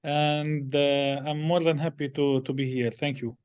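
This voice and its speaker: A middle-aged man with a Lebanese accent answering interview questions in halting, hesitant phrases. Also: a little high, level tone, darkish tone, smooth, muffled recording. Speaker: interview guest, business executive.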